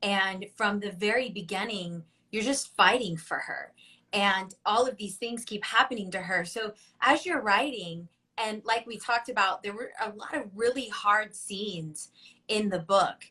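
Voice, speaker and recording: Woman host of a book club, bright voice, eager, repeats herself asking questions. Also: medium pitch, unhurried, breathy edge, rough recording. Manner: warm, enthusiastic, repeats words as she asks. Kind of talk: conversational interview.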